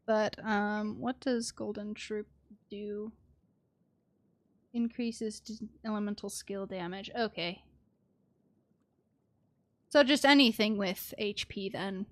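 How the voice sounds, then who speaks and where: A woman streamer chatting casually and thinking aloud to herself as she plays a game. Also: medium pitch. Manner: chatty, muttering to herself while reading. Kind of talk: casual live gameplay chat, thinking aloud. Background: music.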